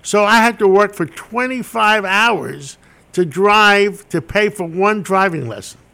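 A man's voice: sits high, animated, a little slow, gravelly, pushed and bright. An older man, a Greek speaker from childhood, telling wry, self-deprecating stories as an interview guest. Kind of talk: interview storytelling.

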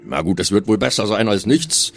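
A man role-plays in an improvised tabletop game. His voice is on the low side, and he speaks in a dry, measured, casual way. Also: big pitch swings, faint music behind. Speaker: player in a pen-and-paper game.

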